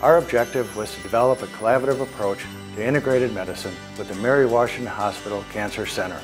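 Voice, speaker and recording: Low-toned male narrator over clear music. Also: fast talker. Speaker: narrator and team presenter. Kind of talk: scripted video introduction narration.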